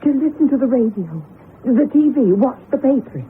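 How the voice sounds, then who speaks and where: Through a thin, noisy old radio recording comes a high-voiced, middle-aged wife. She is emotional and breathless, repeating her words as she pleads, and her pitch swings widely in classic radio drama fashion. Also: animated. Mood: pleading, insistent. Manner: breathless, emotional, halting repetitions. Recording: muffled lo-fi radio broadcast with noise.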